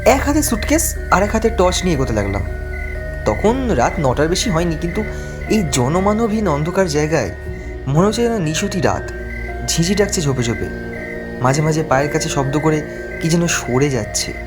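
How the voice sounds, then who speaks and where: A man's voice: on the high side, big pitch swings, normal speed, over music. A man narrating a first-person horror story from a script. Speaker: narrator of an animated ghost story.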